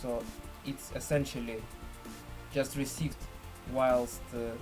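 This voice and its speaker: Slow-talking man presenting a software tutorial. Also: medium pitch, level tone, music in the background. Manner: halting, deliberate phrasing with pauses. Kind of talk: screen-share software tutorial.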